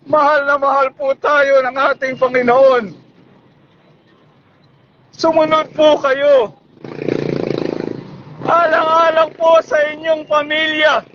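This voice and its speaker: A man with a Filipino accent delivers a street sermon in a very high, strained-up pitch that stays on one level. He pleads and exhorts at shouting volume. The recording is dull and lo-fi. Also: flat delivery, takes it slowly, pauses often, slight background noise. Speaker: street preacher. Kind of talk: evangelistic public sermon. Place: busy public bus terminal.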